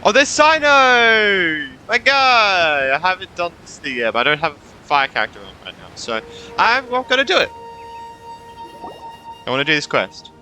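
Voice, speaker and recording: A man's voice: high voice, very animated, moderate pace, bright, forceful tone, low-quality recording. A man giving casual, off-the-cuff gameplay commentary as a streamer, reacting and swearing freely. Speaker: gaming streamer. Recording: faint game music behind.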